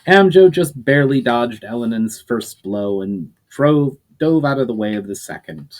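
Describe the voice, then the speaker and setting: A man who is the author reading his own novel aloud, narrating at a steady, unhurried pace with occasional slips. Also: medium pitch.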